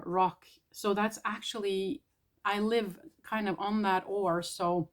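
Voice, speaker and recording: A woman talks through spiritual messages in a relaxed vlog. Her delivery is soft and hesitant, full of pauses, and her throat sounds a bit irritated. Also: medium pitch. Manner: soft, tentative, pausing often. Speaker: spiritual channeler.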